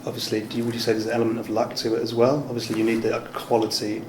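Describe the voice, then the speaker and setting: Male interviewer, mid pitch, monotone, informal and tentative; roomy recording with some noise. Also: rapid-fire. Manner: tentative, loosely phrased questions, agreeable. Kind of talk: casual conversational interview. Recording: echoey room with background noise.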